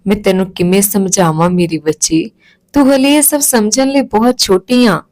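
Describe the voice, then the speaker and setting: An emotional mother in an audio storybook, acted out by a woman narrator. She speaks tenderly and earnestly to her child, loving and a little helpless, in a mid-pitched voice. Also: normal speed, a little mellow, natural voice. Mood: helpless, wistful. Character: tearful, loving Punjabi mother explaining herself to daughter.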